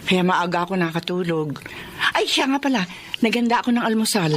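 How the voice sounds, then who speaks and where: A woman's voice: mid-range voice, animated, brisk, a bit bright, a little hiss or hum, natural voice. An actress playing an eager, affectionate mother home from working abroad, earnest and longing for her daughter, in a radio drama.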